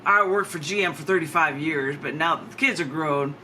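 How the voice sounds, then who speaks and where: A woman voicing a boisterous retired American man on holiday, booming and folksy, in a poem performed aloud. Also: brisk, close to an everyday voice. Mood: boastful, chatty. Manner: jovial, folksy, melodramatic. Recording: clear background noise.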